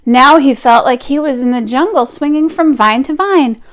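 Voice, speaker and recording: A woman reading a picture book aloud to children, expressive, with drawn-out emphasis and chatty asides. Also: high voice.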